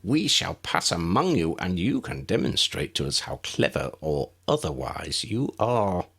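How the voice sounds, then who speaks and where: A man voicing a kindly, excitable little old wizard professor with a genteel, precise, avuncular manner. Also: low-pitched, measured pace, creaky, husky, full character voice. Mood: mock stern.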